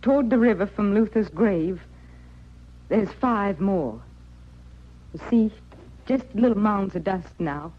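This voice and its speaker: An actress with an American accent playing a worn, stubborn young widow on the prairie in plain rustic speech for a radio western. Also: female voice, medium pitch, lively intonation, slow pace, pauses often. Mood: grieving, resolute. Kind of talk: old-time radio western acting. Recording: muffled old radio recording.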